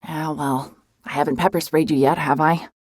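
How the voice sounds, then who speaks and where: Very deep female voice, close dry sound, guarded private investigator, shaken yet sardonic, horror audio drama. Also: fairly even intonation, normal speed, slightly rough, no echo. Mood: wry, annoyed. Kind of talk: cinematic mystery horror audio drama, narration and dialogue.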